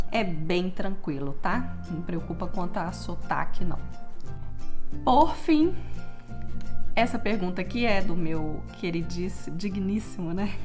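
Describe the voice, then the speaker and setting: A young Brazilian woman with a Brazilian Portuguese accent, chatting casually in a Q&A vlog and tagging her sentences with little checks to the viewer. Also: medium pitch. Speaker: vlogger living in Texas.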